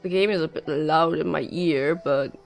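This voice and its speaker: A woman's voice: low voice, normal speed, vocal fry, soft background music. A woman livestreaming a game with casual, informal chat and commentary, her nose congested and her voice slightly nasal. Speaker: game streamer.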